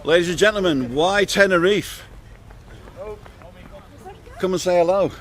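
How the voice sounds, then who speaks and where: A man's voice: sits high, unhurried, fairly bright tone. Chatty English man livestreaming outdoors. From England. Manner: chatty and upbeat, greets strangers and repeats their answers. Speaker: live-streaming vlogger stopping passers-by. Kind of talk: casual street interviews on a livestream. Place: outdoors on a seafront street at dusk.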